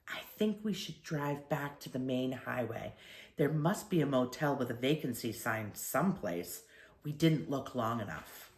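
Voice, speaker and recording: An anxious storybook mother, as acted by a woman reader, critical and quick to protest. Her pitch moves in lively swings, and the room rings a little around her. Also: reverberant, exaggerated voice. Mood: critical, hushed. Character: anxious, complaining mother on a road trip.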